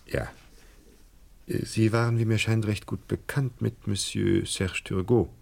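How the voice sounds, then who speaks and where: An actor playing a courteous, discreet hotel director in a radio crime drama, gravelly, formal and careful with halting politeness. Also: male voice, lowish voice, fairly even intonation, darkish tone.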